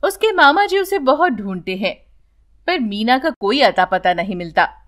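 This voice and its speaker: A woman's voice: on the high side, sing-song, moderate pace, fairly bright tone. A woman narrating a scripted bedtime story for children as a storyteller.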